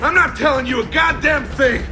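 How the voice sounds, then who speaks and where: Panicky high-voiced thug. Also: male voice, normal speed, raised voice. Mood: defiant. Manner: pleading, panicky, agitated. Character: frightened, foul-mouthed male hired thug under interrogation. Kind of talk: cinematic video game acting. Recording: music behind the voice.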